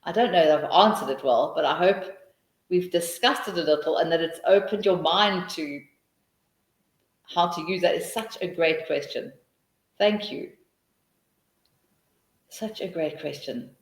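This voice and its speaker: A woman's voice: lowish voice, unhurried, long pauses, roomy sound. A middle-aged woman with a South African accent, answering questions in a webinar and thinking aloud with many restarts. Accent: South African English. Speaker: teacher trainer.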